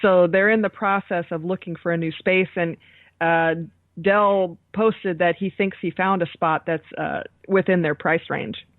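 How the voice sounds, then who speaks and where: A woman's voice: lowish voice, steady tone, unhurried, no echo, narrow, tinny audio. A woman co-hosting a podcast in casual chat, heard over a thin call line. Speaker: podcast co-host.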